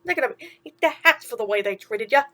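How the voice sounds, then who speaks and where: A woman's voice: a little high, lively intonation, fast talker, pushed and bright. A woman voicing a brash little male mouse from a children's storybook, bossy but encouraging, with slangy, punchy delivery. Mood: rousing, bossy. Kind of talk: children's storybook character reading.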